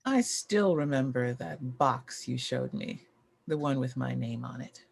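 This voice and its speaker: A woman acting a defiant, sardonic former child star with a gravelly, husky voice, at a drama table read. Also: rumbling low, lively intonation, a little slow, full character voice. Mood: cool menace.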